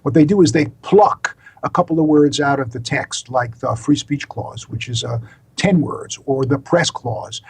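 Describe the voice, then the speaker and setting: An older man with an American accent, explaining as an interview guest in a deliberate, emphatic, professorial way. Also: mid-range voice, creaky, slightly rough. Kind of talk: explanatory interview talk, lecturing.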